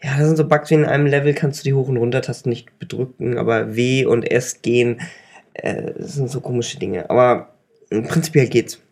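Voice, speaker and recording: A young man co-hosting a casual chat, telling things in a relaxed, matter-of-fact way with some fillers. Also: medium pitch, a little fast. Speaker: podcast co-host.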